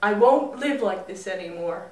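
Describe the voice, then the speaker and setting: A woman's voice: medium pitch, expressive, normal speed, darkish tone, lightly acted. Woman playing a restless young man, echoey room. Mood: frustrated, desperate. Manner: earnest, emphatic, agitated. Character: restless, frustrated young man in brother's shadow. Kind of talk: live storytelling. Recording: roomy echo, distant mic.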